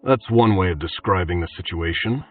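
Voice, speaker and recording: A man voicing a cool-headed male swordsman in a storybook reading, speaking calmly and with quiet menace. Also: low-pitched, moderate pace, no echo, distant mic. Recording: tinny phone-like audio, faint music.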